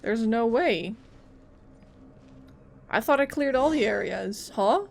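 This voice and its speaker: A woman's voice: fairly high pitch, lively intonation, slow pace, gravelly, a little hiss or hum. Chatty woman streamer reacting to a game. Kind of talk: casual gameplay commentary. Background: faint game music.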